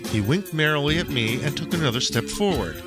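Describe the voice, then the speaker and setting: A man's voice: a little high, expressive, a little fast, music in the background. A man reading surreal first-person fiction as a dramatic audiobook, swinging between emphatic lines and muttered asides. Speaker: author reading own stories.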